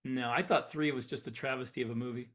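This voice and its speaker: A man's voice: medium pitch, steady tone, fast talker, a bit bright. A man co-hosting a podcast in casual chat, explaining things informally and often correcting himself as he goes. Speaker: podcast co-host.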